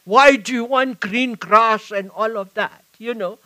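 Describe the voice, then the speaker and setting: Older South African woman, slow and bright. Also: a little high. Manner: reflective, halting with many restarts. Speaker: author and activist. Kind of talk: public conversation on stage. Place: stage at a live event. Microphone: headset mic.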